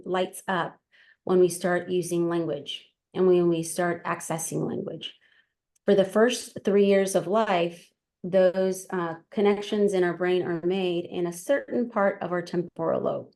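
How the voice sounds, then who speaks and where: A woman in her forties from Queens, New York, speaks in a slightly low voice as a podcast guest. She explains things carefully. She often hesitates, restarts words and adds small fillers. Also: a little mellow. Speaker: interview guest. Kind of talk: casual interview.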